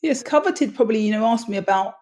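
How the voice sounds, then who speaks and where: A woman's voice: fairly high pitch, expressive, fast, a little mellow. A woman with a cold and a chesty voice, chatting as an interview guest in a rambling way. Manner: chatty, rambling, lots of "sort of" and "you know". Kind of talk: casual interview chat.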